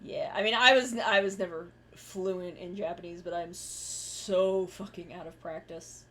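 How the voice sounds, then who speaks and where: A woman's voice: lowish voice, fairly even intonation, measured pace, a bit bright. A woman streamer chatting casually while she draws, rambling and correcting herself mid-sentence, with the odd swear. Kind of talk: casual chat while drawing.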